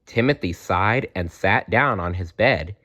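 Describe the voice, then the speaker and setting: A man reading a children's book aloud as a storyteller in an animated style. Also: low voice, unhurried.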